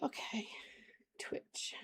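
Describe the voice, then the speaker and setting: A woman live streamer with the flu, hoarse, chatting casually and rambling to her viewers. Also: drawn-out words, sharp tone, in a whisper.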